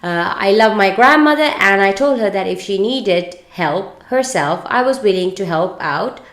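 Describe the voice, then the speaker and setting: A woman co-hosting a podcast, speaking casually in a halting, stumbling way. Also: medium pitch, animated, fairly bright tone. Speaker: podcast co-host. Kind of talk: reading a story aloud and casual discussion. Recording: echoey room.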